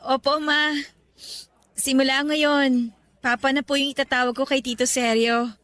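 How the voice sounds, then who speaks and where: High-voiced, earnest young daughter. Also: female voice, level tone, measured pace, a bit bright, no room sound. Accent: Filipino. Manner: respectful, earnest, heartfelt. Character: affectionate, grateful adult daughter, newly engaged young woman. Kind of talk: radio drama acting in Tagalog. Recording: music bed behind the voice.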